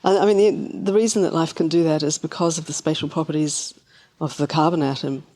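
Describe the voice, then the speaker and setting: Woman musing on science in even tones. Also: sits low, fast. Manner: reflective, tentative, thinking aloud. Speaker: podcast guest, scientist. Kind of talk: conversational science discussion.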